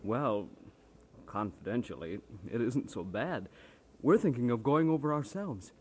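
An actor playing an eager young pal, breezy and persuasive, in an old radio drama. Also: male voice, mid-range voice, expressive, a little slow, slightly rough. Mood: confiding, persuasive.

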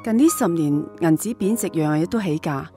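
Young wife and mother played by an actress, practical and emotional, lively intonation, radio drama. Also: on the low side, brisk, soft background music, natural voice. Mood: weary, pragmatic. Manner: earnest, reasoning, emotional. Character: practical wife and mother, worried about family finances.

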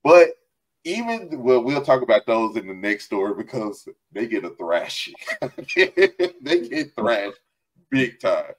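A man's voice: medium pitch, slow, low-quality recording. A middle-aged man, an author chatting animatedly over a video call from a room at home, laughing often. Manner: animated, laughs often, gestures while talking. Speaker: author and host. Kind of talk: casual video call chat. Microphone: headset.

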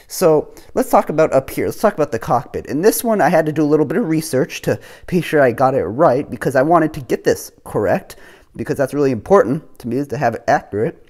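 A man casually narrating a show-and-tell of his building project at home, talking things through with fillers as he goes. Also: mid-range voice. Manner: relaxed, thinking aloud with fillers. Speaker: hobby model builder. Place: home room with model on table.

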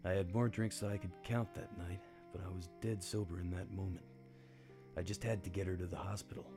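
An actor playing a world-weary, hard-drinking detective in a noir radio play, with wry, understated delivery. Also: male voice, low-pitched, steady tone, brisk, music in the background, natural voice. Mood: wry, brooding. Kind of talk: noir radio drama narration.

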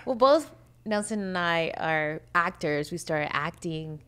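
A woman in her forties who grew up in Venezuela, talking as a guest in a podcast studio on a desk mic, animated and quick to laugh. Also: fairly low pitch, clear, resonant tone. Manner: animated, laughs often, acts out quotes. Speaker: film studio founder, guest. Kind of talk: conversational interview. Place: podcast studio with a long wooden table. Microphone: desk mic on a stand.

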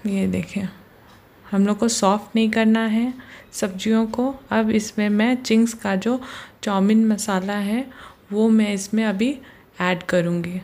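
A woman's voice: mid-range voice, fairly even intonation, darkish tone. A woman hosting a home cooking channel, patiently narrating each step of a recipe and often pointing things out to the viewer. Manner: slow, patient, repeats "ye dekhiye". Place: home kitchen.

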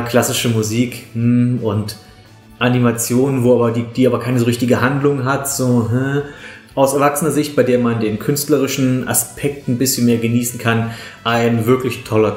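A man's voice: medium pitch, a little mellow. A man gives casual, opinionated film reviews in a conversational way. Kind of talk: casual spoken review to camera. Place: small living room, on a sofa. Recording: roomy indoor echo, music behind.

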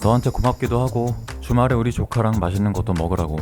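A man playing a middle-aged department boss who talks in a low, informal, fatherly way to his junior. Music plays clearly beneath his lines. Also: fast talker, close to an everyday voice. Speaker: voice actor. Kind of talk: webtoon audio drama acting. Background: background music.

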